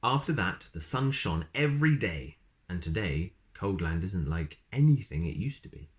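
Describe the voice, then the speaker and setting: A man's voice: sits low, sing-song, moderate pace. A man reading a children's story aloud expressively, with chatty asides to his listeners.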